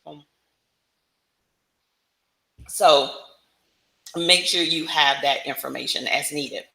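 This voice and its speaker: Woman, low-pitched bright voice, business coach, conversational teaching, frequent check-in tags, echoey room. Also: takes it slowly, vocal fry. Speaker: business coach presenting a livestream. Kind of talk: casual instructional talk to camera. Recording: roomy, echoing room sound.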